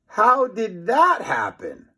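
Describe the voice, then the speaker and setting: Storybook boy in peril, done by a man: bossy, yelling, high and swooping. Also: huge pitch swings, takes it slowly, breathy edge, full character voice. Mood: surprised. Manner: yelling, urgent commands and exclamations. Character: urgent, bossy young schoolboy in peril.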